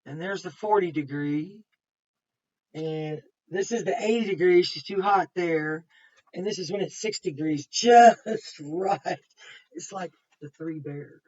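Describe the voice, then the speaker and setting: A woman's voice: on the low side, takes it slowly, darkish tone. A woman kindergarten teacher reading a picture book aloud to young children, with simple explanations and chatty asides. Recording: muffled, distant microphone.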